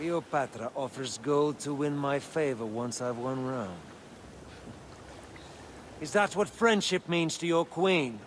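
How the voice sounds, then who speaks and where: An actor playing a haughty, suspicious Roman general in a video game, imperious and skeptical in manner. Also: male voice, mid-range voice, animated, slow pace, slight background noise. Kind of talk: dramatic video game acting.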